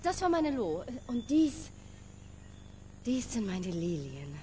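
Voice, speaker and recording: A woman's voice: a little high, sing-song, slow, very noisy. An actress playing an eager, affected middle-aged landlady in a radio drama, with a gushing, sing-song manner. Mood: proud.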